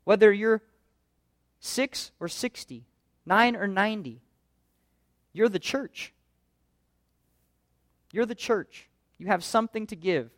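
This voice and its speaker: A man's voice: high-pitched, slow, pauses often, a bit bright, dry recording, clean studio sound. A man pastor preaching a sermon in an earnest, conversational way, full of rhetorical questions.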